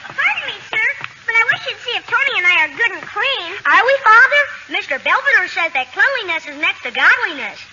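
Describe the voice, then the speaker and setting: An eager, well-mannered little child in an old radio drama. The voice is extremely high and swoops animatedly. The recording is noisy. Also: female voice, sing-song, brisk, dull audio. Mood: earnest, polite. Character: polite, eager young child addressing father. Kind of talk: 1940s radio acting.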